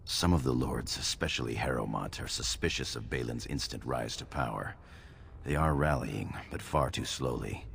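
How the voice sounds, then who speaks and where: An actor playing a loyal, sombre dwarf knight in a fantasy game, grave and formal, with a gravelly voice. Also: male voice, moderate pace, fairly bright tone, full character voice. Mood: grave concern. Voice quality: gravelly, very deep. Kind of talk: fantasy video game acting. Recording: game dialogue over noisy ambience.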